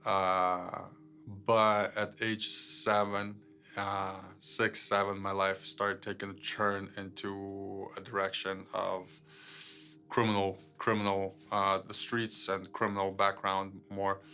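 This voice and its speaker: A young man from Ukraine telling his life testimony unscripted, halting with many fillers and repeated words. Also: low-pitched, completely flat delivery, bright, forceful tone. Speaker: guest sharing his testimony. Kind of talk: personal testimony, unscripted storytelling.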